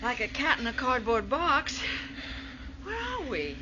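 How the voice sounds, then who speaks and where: An actress playing a sharp-tongued woman on a starship crew in a science fiction radio drama, tart and sardonic. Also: high voice, huge pitch swings, measured pace, exaggerated voice. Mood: tart, strained. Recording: dull recording with noisy ambience. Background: background noise and ambience.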